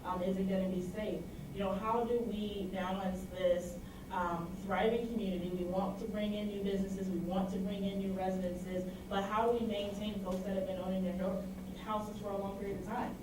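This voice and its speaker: A woman's voice: medium pitch, steady tone, a little fast. A woman speaking as a panelist at a community forum, earnest and full of fillers. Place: library meeting room. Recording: echoey room, distant mic, noise.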